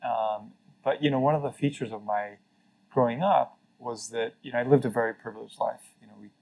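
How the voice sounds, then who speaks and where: Gravelly-voiced earnest man from Mexico. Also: mid-range voice, a little fast, a little mellow, poor audio. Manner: earnest, reflective, many fillers and restarts. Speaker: interview guest, former carbon registry CEO. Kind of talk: casual conversational interview.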